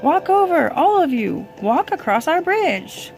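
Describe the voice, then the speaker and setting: A woman's voice: extremely high pitch, big pitch swings, measured pace, over music, pitched above the performer's own voice, heavily acted. A woman voicing a big bossy monkey leader from a storybook, calling out commands urgently. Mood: urgent, inviting. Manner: shouted, commanding exclamations.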